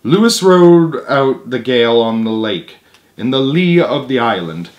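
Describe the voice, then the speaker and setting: A young adult man reads a children's book aloud as expressive narration with casual asides, recorded indoors in a living room with the camera a short distance away. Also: mid-range voice, unhurried, muffled recording.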